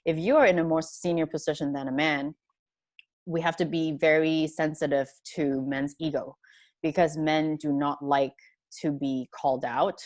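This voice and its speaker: Panelist: woman from hong kong, low voice, dry close sound, earnest and thoughtful. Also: fairly even intonation, moderate pace, darkish tone, no room sound. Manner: earnest, thoughtful, many fillers and restarts. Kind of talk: panel discussion answer.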